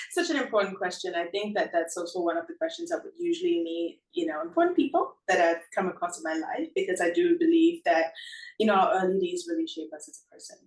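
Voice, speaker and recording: A woman's voice: medium pitch, big pitch swings, brisk, roomy sound, distant mic, poor audio. A woman from Singapore, a brand founder and interview guest, who talks casually, laughs often and leans on "you know".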